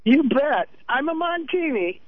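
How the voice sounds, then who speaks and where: A woman's voice: high voice, big pitch swings, a little slow, dry recording. A woman born in Germany calling into a radio show, cheerful and laughing often. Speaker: caller. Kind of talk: phone-in chat. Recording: slightly dull radio audio.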